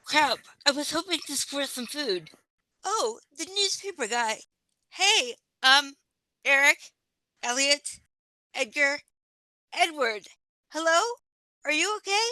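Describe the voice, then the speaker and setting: A woman's voice: sits high, lively intonation, slow pace, pauses often, bright, forceful tone, no echo, heavily acted, rough recording. A woman playing a perky, scatterbrained police inspector in an audio play. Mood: searching, concerned.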